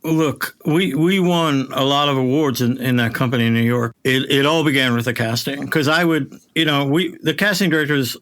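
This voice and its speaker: A middle-aged man hosting a casual interview, talking in a reflective, halting, conversational way. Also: mid-range voice, a bit bright. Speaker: interview host, voiceover director.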